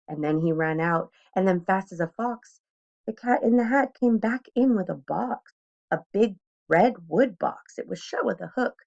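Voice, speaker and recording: A woman reads a picture book aloud for children with a very lively, varied intonation. Her voice has some creak in it, and she speaks directly to her young listeners. The recording sounds a bit lo-fi. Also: mid-range voice, unhurried. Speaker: school staff member reading to children. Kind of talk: expressive children's storybook read-aloud.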